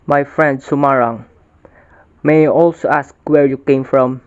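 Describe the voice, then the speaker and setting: A man's voice: medium pitch, fairly even intonation, measured pace, smooth, natural voice. A bold young warrior of folk epic, acted by a man for readers' theater. He is proud and formal in his delivery, and the recording is dull and lo-fi. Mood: firm, courteous.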